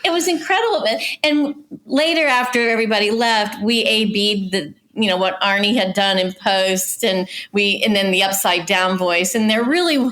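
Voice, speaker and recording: A mature woman speaks as a casting director in a relaxed conversation. Her delivery is chatty and animated, full of casual storytelling, and her pitch sits in an ordinary middle range for a woman. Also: moderate pace, few pauses. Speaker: casting director telling industry stories as a guest.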